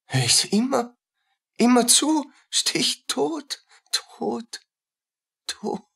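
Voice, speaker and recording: Woman playing a breathy, tormented soldier, halting and obsessive. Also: fairly high pitch, animated, a bit bright, full character voice. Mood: haunted, deranged. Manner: agitated, fragmented, obsessive repetitions. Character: tormented, jealous young male soldier hearing voices. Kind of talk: dramatic radio play acting.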